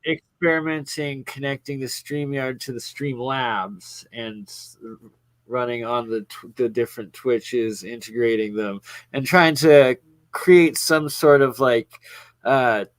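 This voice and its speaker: Late-thirties host, filler-heavy rambler, dry call audio. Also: male voice, medium pitch, fairly even intonation, slow, no echo, low-quality recording. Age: 30s. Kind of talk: casual livestream chat.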